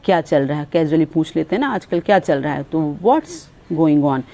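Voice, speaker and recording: Low, mellow Indian woman teaching English. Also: sing-song. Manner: explains in Hindi, then says English phrases slowly and clearly. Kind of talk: spoken English lesson.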